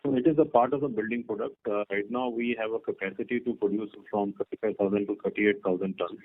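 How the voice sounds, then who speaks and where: A man with an Indian accent answering questions as a company executive on an earnings call, explaining things in a halting way. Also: fairly low pitch, fairly even intonation. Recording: muffled narrow telephone conference line.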